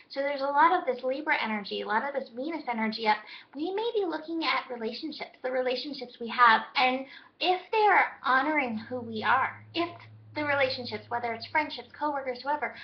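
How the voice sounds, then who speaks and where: A woman astrologer giving a daily horoscope in a gentle, reflective, spiritual way, her voice hoarse as she loses it. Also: high voice, muffled recording.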